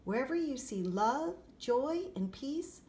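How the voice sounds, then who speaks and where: A gentle, wise storybook grandmother, read by a woman, teaching a small grandchild. She speaks in measured, instructive phrases with a slightly lifted pitch. Clear background noise sits behind the voice. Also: animated, exaggerated voice.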